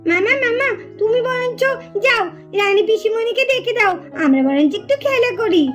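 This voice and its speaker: A woman voicing eager, playful small children in a puppet cartoon, pleading and repeating themselves excitedly. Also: very high-pitched, moderate pace, faint music behind. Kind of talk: sing-song children's puppet cartoon acting.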